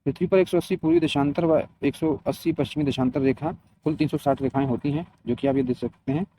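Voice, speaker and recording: A man's voice: a little high, fairly even intonation, fast talker, dark tone, slight background noise. A man teaching a geography lesson, reading from notes and adding short instructional explanations. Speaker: geography teacher for competitive exam students. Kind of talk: scripted lesson read aloud with short explanations. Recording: dull, lo-fi, muffled sound.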